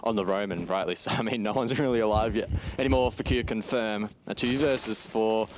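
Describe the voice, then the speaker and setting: A man's voice: fairly low pitch, a little fast, noisy background. Informal male esports caster with gunfire behind. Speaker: esports match commentator. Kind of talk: live game commentary, conversational banter. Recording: clear game gunfire behind the voice. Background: game gunfire.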